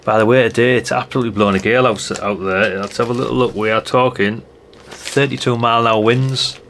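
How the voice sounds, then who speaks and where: A man's voice: mid-range voice, steady tone, fast talker, fairly bright tone, a little hiss or hum. An older man chatting in a rambling way, thinking aloud through a casual hands-on product review. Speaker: radio hobbyist reviewer.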